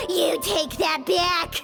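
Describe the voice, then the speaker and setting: Squeaky, very high-pitched little fairy companion. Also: female voice, gravelly, exaggerated voice. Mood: indignant. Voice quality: squeaky, childlike. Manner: exclamatory, speaks in third person. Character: tiny childlike fairy companion, loyal, indignant, outspoken. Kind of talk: video game voice acting.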